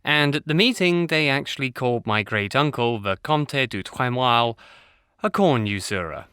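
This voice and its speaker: Naive young aristocrat, anxious, haughty, very lively intonation, dry recording, audio drama. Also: male voice, medium pitch, normal speed, clear, resonant tone, dry recording. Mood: indignant. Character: naive, prissy young provincial nobleman, easily alarmed. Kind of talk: audio play acting.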